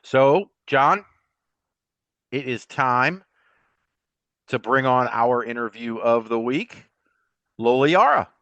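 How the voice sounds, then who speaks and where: A man's voice: mid-range voice, expressive, slow pace, pauses often, a bit bright, no room sound, muffled recording. A man co-hosting a podcast in a casual, chatty style about sports. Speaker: podcast co-host.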